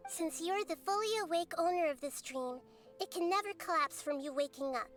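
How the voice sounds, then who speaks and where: Casting: a tiny wise girl goddess, very high and calm, measured and analytical, video game. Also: faint music behind. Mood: calm probing. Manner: composed, gently analytical. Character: small girl goddess of wisdom, calm, perceptive. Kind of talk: game cutscene dialogue.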